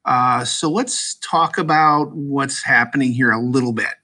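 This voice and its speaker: A man's voice: medium pitch, measured pace, pushed and bright. A man hosting a podcast, interviewing guests in a hesitant way and often repeating words. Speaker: podcast host.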